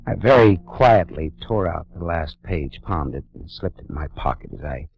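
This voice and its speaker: An actor playing a hard-boiled private detective in a 1940s radio drama, narrating with a dry, sardonic delivery. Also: male voice, sits low, expressive, normal speed, gravelly. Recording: dull old radio broadcast with music bed.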